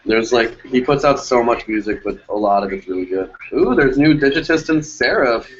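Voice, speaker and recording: A man's voice: medium pitch, expressive, normal speed. A man in his twenties, still a college student, chatting easily and rambling through his theories about music. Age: 20s. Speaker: music producer. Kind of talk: casual podcast chat. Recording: dull lo-fi stream audio.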